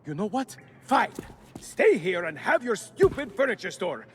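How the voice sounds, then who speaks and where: Melodramatic little game adventurer, super high voice that swings wildly, exclaims a lot. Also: male voice, big pitch swings, unhurried, fairly bright tone, slight background noise, heavily acted. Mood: exasperated, hurt. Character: excitable adventure-hungry freed captive, easily exasperated. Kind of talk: video game character acting.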